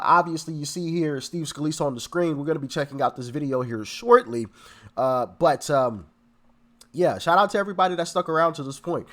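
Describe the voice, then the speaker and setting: A man's voice: on the high side, a bit bright. A man hosting casual online political commentary, stuffy and congested from a cold, talking straight to his viewers in a chatty way. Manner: chatty, addresses viewers directly.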